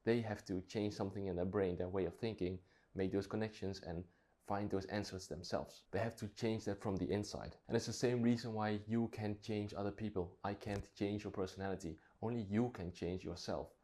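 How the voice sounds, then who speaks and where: A man's voice: lowish voice, steady tone, fairly quick, dark tone. A Dutch-native man talking casually to camera, reflective and thinking aloud with frequent restarts. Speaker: vlogger.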